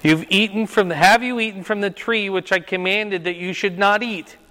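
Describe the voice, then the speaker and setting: An older man with a loud voice leading a Bible class, quizzing his group and pressing them to answer. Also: high voice, steady tone, normal speed. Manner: questioning, repeats and presses for answers. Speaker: Bible study teacher. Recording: reverberant room.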